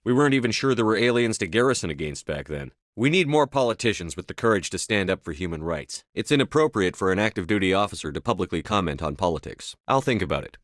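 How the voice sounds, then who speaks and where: A low male voice, close and dry, a resolute military commander in a sci-fi game. He speaks in terse, assertive lines with a blunt, controlled manner. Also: lively intonation, fast talker, hardly any pauses, no room sound. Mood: firm, composed. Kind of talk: scripted video game dialogue.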